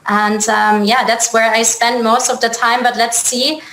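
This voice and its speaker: German-accented woman, echoey and even-toned. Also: a little high, a little slow. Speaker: company chief executive, interview guest. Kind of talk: casual interview conversation. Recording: echoey room, faint background noise.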